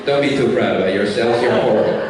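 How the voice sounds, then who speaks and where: A young man from Italy with an Italian accent, doing a loose, teasing stand-up comedy set on a club stage and jumping into high mocking voices. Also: fast talker, distant mic. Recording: echoey live room, miked from afar, hall noise.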